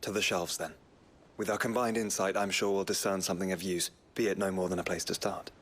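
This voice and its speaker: A man's voice: sits low, steady tone, fairly quick. An actor playing a courtly, earnest fantasy nobleman in a video game, with formal and thoughtful phrasing. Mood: concerned. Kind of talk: dramatic video game acting.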